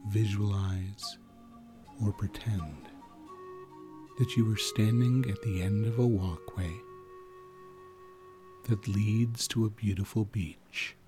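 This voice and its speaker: Slow, low-voiced man guiding sleep meditation. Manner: slow, soothing, with long pauses. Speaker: guided meditation narrator.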